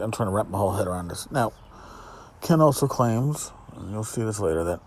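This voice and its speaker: A man with a fairly low voice gives an off-the-cuff solo podcast commentary. He rambles and sounds exasperated and sarcastic, with a blunt, profane streak. Some faint noise sits in the recording. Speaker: podcast host. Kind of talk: casual solo commentary, reading out emails. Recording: noticeable background noise.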